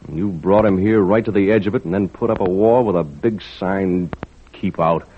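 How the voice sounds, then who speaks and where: An actor playing a steady, fair-minded, fatherly fisherman in 1940s radio style, earnest and plain-spoken. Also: low voice, moderate pace, lightly acted. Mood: grave. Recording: noisy, narrow-band 1940s radio recording.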